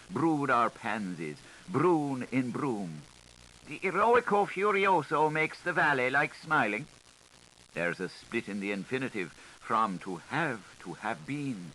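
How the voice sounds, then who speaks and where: Theatrical male narrator, highly animated and deliberate. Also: rough recording. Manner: playful, declamatory, deliberate phrasing. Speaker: audiobook narrator. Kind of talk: scripted literary narration, theatrical reading. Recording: hissy 1980s audiobook recording.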